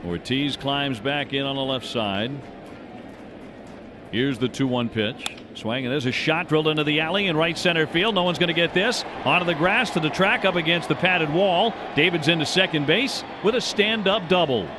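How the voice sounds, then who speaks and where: A man's voice: fairly high pitch, moderate pace. A man doing live play-by-play commentary of a baseball game as a radio announcer. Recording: noisy live ballpark radio broadcast. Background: stadium ambience and noise.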